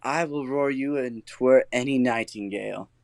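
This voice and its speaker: A man's voice: mid-range voice, moderate pace, vocal fry, dry recording, studio quality, close to an everyday voice. A man playing a boastful, eager workman and amateur actor, showy and theatrical, in a comic Shakespearean audio play.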